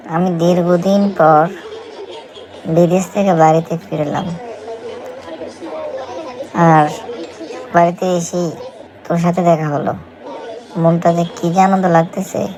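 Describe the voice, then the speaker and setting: A man's voice: a little high, a little mellow, low-quality recording. A man playing a weary, homesick migrant worker in a cartoon, speaking in an earnest, lamenting way. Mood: glad, warm. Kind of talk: scripted cartoon dialogue.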